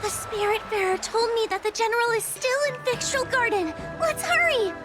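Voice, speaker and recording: An extremely high little girl with loud music behind her. She sounds timid, polite and worried, in anime-style game acting. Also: animated, moderate pace. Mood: anxious, urgent. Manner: anxious, polite.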